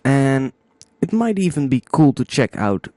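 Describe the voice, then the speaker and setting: A man hosting a casual step-by-step music software tutorial, thinking aloud informally as he demonstrates. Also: mid-range voice, very animated, measured pace, no echo. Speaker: music production tutorial host.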